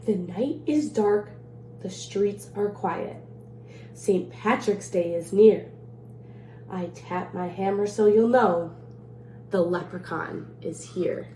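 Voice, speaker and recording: A woman's voice: medium pitch, slow pace, warm tone, close to an everyday voice. A woman voicing a boastful, mischievous old male leprechaun in a rhyming picture-book read-aloud, teasing and playful, in a roomy space. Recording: roomy echo, faint background noise.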